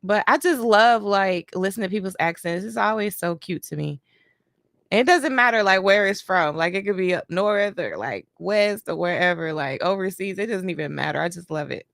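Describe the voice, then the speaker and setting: A woman's voice: medium pitch, expressive, normal speed, gravelly, fairly bright tone, no echo. A woman from Detroit who grew up in Germany, chatting casually to her viewers with lots of "you know" and "right". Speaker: reaction vlogger. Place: home, doing a facial on camera.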